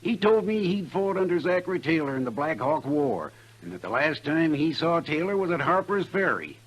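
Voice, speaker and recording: An actor playing an assured American small-town banker in a 1950s radio western, brusque and emphatic. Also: male voice, a little high, very animated, moderate pace, breathy edge, low-quality recording. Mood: dismissive.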